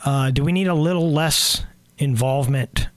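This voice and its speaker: A man's voice: fairly high pitch, normal speed, dry recording. A man hosting a casual interview, plainspoken and prone to repeating words, his voice a little weak today. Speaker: host.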